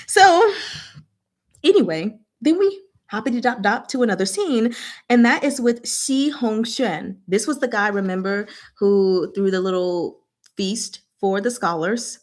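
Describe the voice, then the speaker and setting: Female book-discussion host, medium pitch, informal and slangy, playful storytelling recap. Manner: playful, slangy, acts out characters' lines in casual speech. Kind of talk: casual chatty recap of a novel's chapters.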